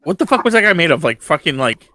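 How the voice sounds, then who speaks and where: A man with a fairly high, sharp-edged voice chats with friends during a game stream. He is joking and loose with profanity, over faint gunfire and ambience. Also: sing-song, fast. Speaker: co-op game player on a livestream. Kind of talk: casual gaming chat.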